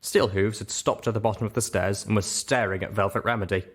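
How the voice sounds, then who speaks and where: A man's voice: fairly low pitch, fairly even intonation, a little fast, husky. A man narrating a story expressively as host of a reading show.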